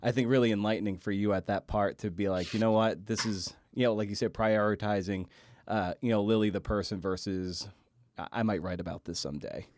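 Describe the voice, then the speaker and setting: Low-voiced man, halting podcast interviewer. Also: steady tone, a little mellow, no echo, clean studio sound. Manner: halting, rambling questions with repeated words. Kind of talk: conversational literary podcast interview.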